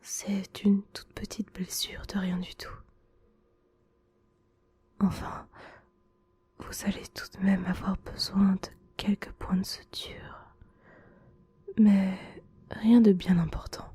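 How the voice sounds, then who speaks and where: A gentle male school nurse, played by a woman, soothes a patient in a very breathy ASMR whisper. The voice is slow and mellow, with an even, hushed melody and a reassuring, attentive manner. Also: medium pitch. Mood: gently reassuring. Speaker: ASMR roleplay performer. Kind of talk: whispered French ASMR roleplay.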